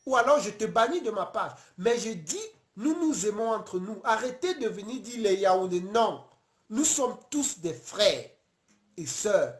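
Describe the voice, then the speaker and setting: A man from Cameroon, hosting a casual late-night live stream, talking earnestly and passionately with many halting restarts. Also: high-pitched, sing-song, roomy sound.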